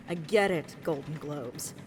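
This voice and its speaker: A woman's voice: deep voice, slow. A woman playing a laid-back, teasing engineer in a comedic audio drama, heard in an echoing space. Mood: easygoing. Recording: echoing hall, faint background noise.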